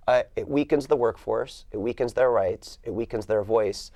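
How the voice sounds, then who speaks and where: A man's voice: mid-range voice, moderate pace, warm tone, no echo. A man who works as a union organizer, answering interview questions confidently and in rallying phrases.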